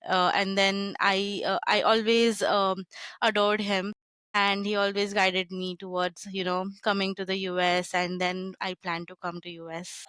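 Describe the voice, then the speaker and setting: A young woman from India answering interview questions in a soft, hesitant way with many fillers. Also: medium pitch, little pitch movement, a little slow, clean tone, dry recording. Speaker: podcast guest.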